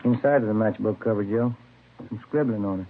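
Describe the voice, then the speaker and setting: An actor playing a plainspoken, easygoing American police detective in a 1950s radio crime drama, casual and methodical. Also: male voice, fairly low pitch, a little fast. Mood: plain, inquiring. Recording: thin, phone-like vintage radio recording.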